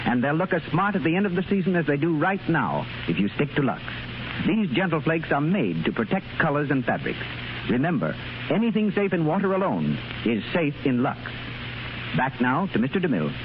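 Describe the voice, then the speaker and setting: A male radio announcer reading a brisk, polished 1930s soap commercial, on a scratchy, dull old broadcast. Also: a little high, very animated.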